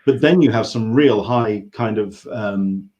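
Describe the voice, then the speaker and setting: A man's voice: fairly low pitch, measured pace, dark tone, lo-fi sound. An English man hosting a casual interview, circling hesitantly around his questions. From England. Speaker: interview host.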